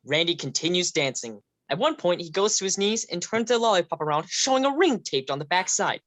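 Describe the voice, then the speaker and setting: Low-voiced woman reading stage directions. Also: expressive, brisk, dry recording. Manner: plain and matter-of-fact.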